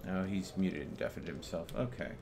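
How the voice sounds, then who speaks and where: A man talks casually through a live game stream. There is clear noise behind him, with keyboard typing. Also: low voice, moderate pace. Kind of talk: casual live gameplay commentary and chat. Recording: noisy gaming setup with keyboard clatter. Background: keyboard typing, steady background noise.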